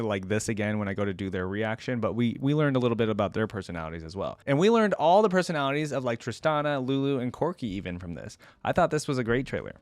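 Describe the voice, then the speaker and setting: A man's voice: mid-range voice, smooth, no room sound. A man giving enthusiastic, informal reaction commentary as the host of a fan channel.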